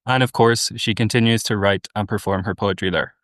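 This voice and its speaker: Measured male podcast host. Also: fairly low pitch, fairly even intonation, fairly bright tone, no echo, studio quality. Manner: measured, formal introductions and questions. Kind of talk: podcast interview.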